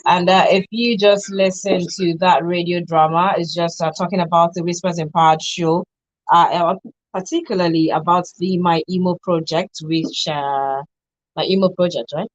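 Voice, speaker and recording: A woman's voice: on the low side, dry recording, low-quality recording. A woman with a Nigerian accent hosting a live talk radio show from a studio.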